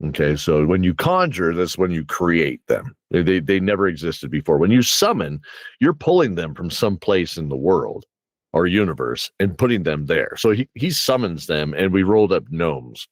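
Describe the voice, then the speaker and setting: A man with a low voice, recorded close and dry, tells stories as a game master in a casual, rambling chat. He keeps slipping into quoted reactions from his players as he goes. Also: sing-song, normal speed, no echo. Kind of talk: casual animated storytelling chat.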